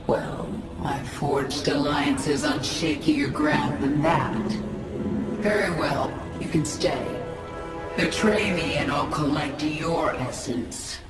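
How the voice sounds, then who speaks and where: A woman's voice: sits low, takes it slowly. A woman playing a commanding, defiant alien-hybrid warlord in a video game, with a cool, threatening manner and an echoing processed voice. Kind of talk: video game character acting. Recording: echoing, loud music behind.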